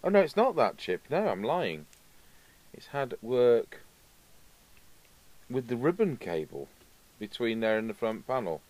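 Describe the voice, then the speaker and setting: A man's voice: mid-range voice, sing-song, measured pace, long pauses, darkish tone, dry recording. A middle-aged man giving casual hands-on repair commentary, musing aloud to himself as he works. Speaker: radio repair video host. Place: indoor workbench, overhead camera.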